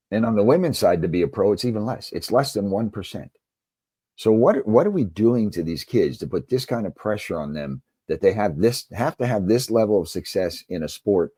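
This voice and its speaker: A man's voice: lowish voice, mellow, slightly rough, no echo, studio quality. A man speaking as a coach and interview guest, answering conversationally in an earnest, emphatic way.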